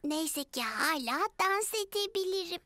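A woman's voice: very high-pitched, lively intonation, measured pace, noisy background. A woman voicing a cheerful, slightly bossy little girl piglet in a children's cartoon, childlike and emphatic. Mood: eager, put out.

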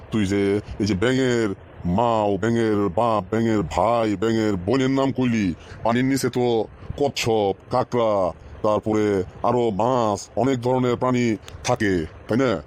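A man's voice: mid-range voice, steady tone, normal speed, soft background music, lightly acted. A man playing a stern, exasperated village schoolteacher in a comic cartoon, lecturing and scolding in rural Bangladeshi Bengali. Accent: Bangladeshi Bengali, rural colloquial.

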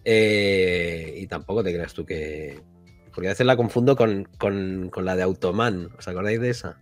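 A man's voice: sits low, moderate pace. A man with an accent from Spain hosting a casual retro games chat, talking in a colloquial, rambling, jokey way. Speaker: podcast host. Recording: background music behind voice.

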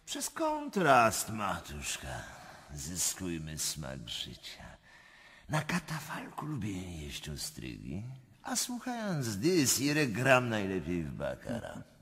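An actor playing a flamboyant, cynical prince and court schemer in a radio drama, theatrical and mocking, with a husky voice. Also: male voice, low-pitched, wildly swooping pitch, takes it slowly, fairly bright tone, exaggerated voice. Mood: cynical, jovial. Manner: theatrical, mocking, swings from whispers to roars. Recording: long hall-like reverb.

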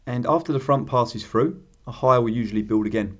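A man narrating a scripted instructional voice-over, explaining in a measured, technical way. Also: mid-range voice. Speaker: paragliding instructor.

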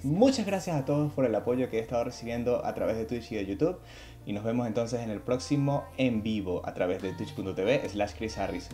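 Playful Venezuelan man streaming a game. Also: mid-range voice. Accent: Venezuelan Spanish. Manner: playful, joking, lively and teasing. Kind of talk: casual gaming stream chat. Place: small home room indoors. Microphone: headset.

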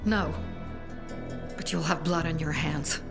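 A woman's voice: deep voice, steady tone, slow, gravelly, full character voice. An actress playing a tough young female faction leader in a video game, firm, urgent, defiant and protective. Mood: pleading. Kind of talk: dramatic video game acting. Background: game music.

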